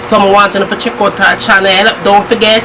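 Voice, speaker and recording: A middle-aged Jamaican woman chatting easily on her home vlog, patois slipping in and a laugh never far off. Her voice sits at an ordinary pitch for a woman. Steady background noise hums under the talk. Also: fairly quick. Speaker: cooking channel host. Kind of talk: casual chat.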